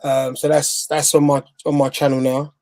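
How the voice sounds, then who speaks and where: A man's voice: medium pitch, little pitch movement, normal speed, a little mellow. A man with a Bajan accent telling a story in a rambling, laughing way over a video call. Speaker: guest interviewer. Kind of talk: casual live chat. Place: home, remote video call.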